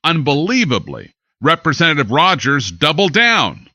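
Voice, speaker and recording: An American man delivers scripted news with dramatic emphasis. His voice is bright and forceful, swinging widely in pitch, recorded dry in a studio. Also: huge pitch swings, moderate pace, dry recording. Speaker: newscaster.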